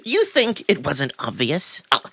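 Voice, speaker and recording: A man's voice: a little high, huge pitch swings, a little slow, vocal fry, pushed and bright, no room sound, voice pushed up, heavily acted. A man voicing a sly, wounded male cat in a visual novel, haughty and evasive, with formal, indignant phrasing. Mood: evasive, defensive. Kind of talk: visual novel character acting.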